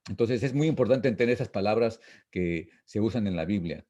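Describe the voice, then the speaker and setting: A man teaching an informal Bible lesson, reading and explaining verses in a repetitive, halting, didactic way. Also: fairly low pitch, mellow, muffled recording. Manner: didactic, repetitive, halting with frequent false starts.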